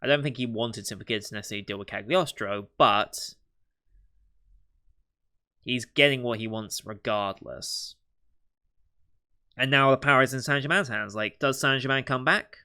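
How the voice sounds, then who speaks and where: Male reaction host, bright clear voice, speculative casual commentary. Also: mid-range voice, normal speed, long pauses. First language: not Japanese. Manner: thinking aloud, speculative, with soft laughs.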